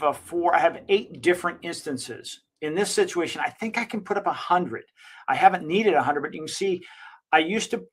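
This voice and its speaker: A man who owns a digital marketing agency, giving an enthusiastic, rambling software walkthrough and correcting himself as he goes. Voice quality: slightly higher-pitched voice. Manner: enthusiastic, recommending. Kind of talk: casual screen-share software walkthrough.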